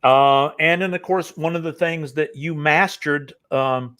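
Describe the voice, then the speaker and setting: A man's voice: fairly high pitch, a little slow, a bit bright, smooth. An older man hosting a casual interview, talking in long rambling stretches full of fillers and restarts. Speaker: interview host.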